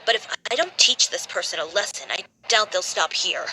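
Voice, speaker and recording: A woman's voice: lowish voice, lively intonation, normal speed, pushed and bright, a little hiss or hum, rough recording. A woman playing a cool, self-assured young designer in a video game, speaking in a composed, dry and exacting manner. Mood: firm.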